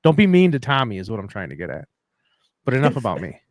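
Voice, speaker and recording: A man's voice: fairly low pitch, wildly swooping pitch, fast talker, no echo. A man hosting a casual podcast, rambling and joking in a self-deprecating way. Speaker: podcast host.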